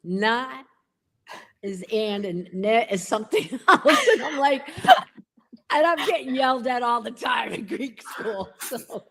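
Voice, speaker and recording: A woman's voice: a little high, expressive, slow, gravelly, fairly bright tone, slightly rough. A woman co-hosting a casual livestream chat over a video call, talkative and self-deprecating, often laughing. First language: English. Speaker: co-host of a pen livestream.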